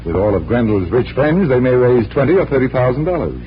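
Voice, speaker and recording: A man playing a calm, shrewd magician detective with composed, polite delivery in a 1940s radio drama. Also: mid-range voice, brisk, soft background music, lightly acted. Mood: easy, assured. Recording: muffled vintage radio recording, faint noise.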